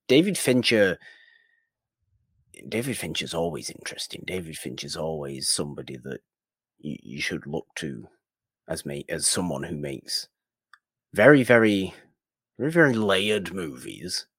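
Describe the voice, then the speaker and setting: A man's voice: deep voice, husky, no room sound, studio quality. A man co-hosting a podcast, casually discussing films in an emphatic way, often repeating words and phrases for stress. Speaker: podcast co-host.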